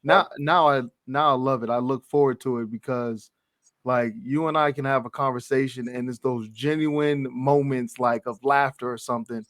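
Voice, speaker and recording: A man's voice: medium pitch, fairly even intonation, normal speed, fairly bright tone, dry recording, studio quality. A man hosting a casual interview, asking questions and affirming with short replies. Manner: friendly, affirming with "right" and "yeah, man". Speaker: interview host.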